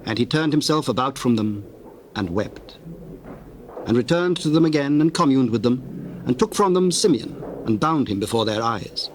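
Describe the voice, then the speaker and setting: A man narrating a dramatized scripture, reading in a formal, measured way. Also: medium pitch, lively intonation, a little hiss or hum.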